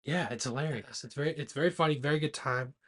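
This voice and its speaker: A man's voice: on the high side, fast talker, a bit bright. A man co-hosting a casual movie podcast, speaking in a blunt, teasing and informal way. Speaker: podcast co-host. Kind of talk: rowdy casual movie chat.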